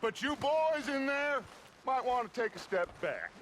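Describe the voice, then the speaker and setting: High-pitched, commanding outlaw gang leader. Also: male voice, big pitch swings, a little slow, slight background noise, full character voice. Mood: calm command. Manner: theatrical, authoritative oratory. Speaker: actor in a western video game. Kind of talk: dramatic video game cutscene acting. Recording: loud music behind, game sound effects.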